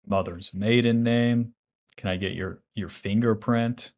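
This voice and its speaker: Low-voiced profane young gaming streamer. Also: male voice, steady tone, unhurried, a little mellow. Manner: blunt, profane, reads chat questions aloud. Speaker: game streamer. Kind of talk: casual livestream chat while playing.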